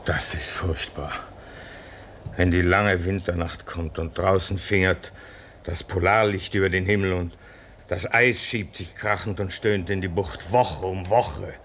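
Radio drama: an embittered, anguished Arctic castaway, lowish voice, very unhurried, theatrical. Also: male voice, steady tone, fairly bright tone, a little hiss or hum. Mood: dread. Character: embittered, homesick husband stranded at a polar station. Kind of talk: German radio play acting.

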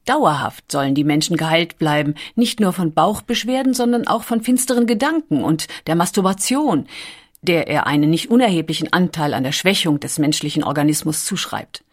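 A woman reading scripted documentary narration with measured, carefully articulated storytelling. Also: low-pitched, lively intonation.

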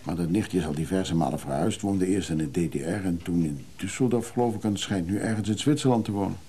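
An actor playing a modest, wistful middle-aged hunter of antique musical machines in a radio drama, husky and low-key, musing hesitantly. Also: male voice, quick pace, warm tone, a little hiss or hum, close to an everyday voice. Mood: practical, doubtful. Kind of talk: naturalistic radio drama dialogue.

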